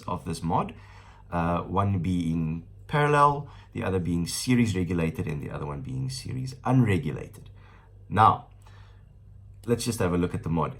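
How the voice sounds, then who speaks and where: A South African man in his thirties with a stuffy nose from the flu, reviewing a product in a casual, rambling way with many fillers. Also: sits low. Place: small room indoors at a table.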